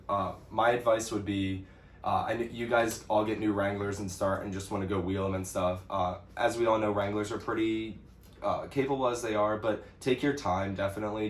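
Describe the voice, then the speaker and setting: Low-voiced American guy in an echoey space. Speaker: off-road vlog co-host. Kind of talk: casual question-and-answer chat. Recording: roomy echo with some background noise.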